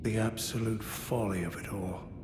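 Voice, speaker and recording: An actor playing an aged, courteous and weary former knight in a video game, speaking in formal, archaic, measured phrases with sorrowful gravity. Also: male voice, on the low side, steady tone, close to an everyday voice. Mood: grateful, resigned. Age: older. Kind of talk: scripted video game character dialogue. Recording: echoey hall reverb with noisy game ambience.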